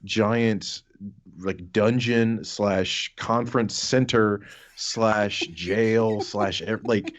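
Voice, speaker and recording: A man's voice: lowish voice, fairly even intonation, extremely slow, muffled recording. A man co-hosting a podcast with casual comic rants, rambling, sardonic and sweary, stammering and repeating words. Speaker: podcast co-host. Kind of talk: casual comic ranting chat.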